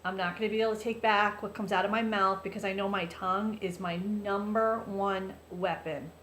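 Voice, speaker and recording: A loud-voiced woman speaks to her viewers in an earnest, chatty, confessional way. Her voice carries through a roomy space with a faint hiss underneath. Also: mid-range voice, steady tone, moderate pace. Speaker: vlogger. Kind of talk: casual confessional talk to viewers. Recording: roomy echo, faint background noise.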